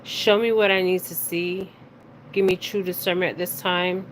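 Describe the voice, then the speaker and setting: A woman hosting a casual spiritual reading, speaking haltingly, stumbling over words and often checking in with her listeners. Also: lowish voice, fairly even intonation. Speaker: spiritual reader and card reader hosting her own video. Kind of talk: casual psychic reading, reading news details aloud. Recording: clearly noisy recording with background ambience.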